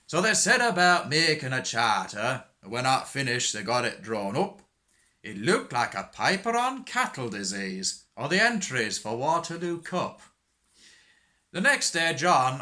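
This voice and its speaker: A man's voice: mid-range voice, pushed and bright. A man playing a droll working-class storyteller, reciting a rhymed comic monologue in a singsong, tongue-in-cheek way.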